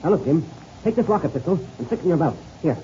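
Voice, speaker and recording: An American man playing a calm, authoritative veteran pilot in a 1930s radio serial. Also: fairly high pitch. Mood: urgent, commanding. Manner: brisk, authoritative, giving orders. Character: calm, commanding veteran airplane pilot, older comrade. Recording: muffled old radio recording with noise.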